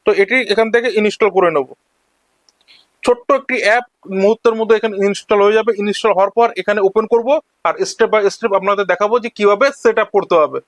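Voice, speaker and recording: A man's voice: high-pitched, fairly even intonation, quick pace, no echo. A man narrating an instructional screen-recording tutorial, explaining each step in turn as a voice-over. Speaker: tech tutorial host.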